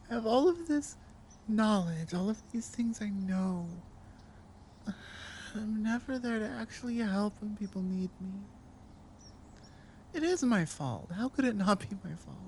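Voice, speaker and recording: A woman playing a proud, ancient dragon scholar burdened by guilt in an ASMR audio drama, speaking with commanding deliberation and halting dramatic pauses. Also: medium pitch, dark tone, a little hiss or hum. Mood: grieving, guilty.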